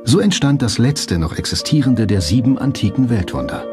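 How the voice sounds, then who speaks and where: A man reading scripted documentary narration in a measured, factual manner. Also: mid-range voice, expressive. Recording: music bed under the voice. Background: background music.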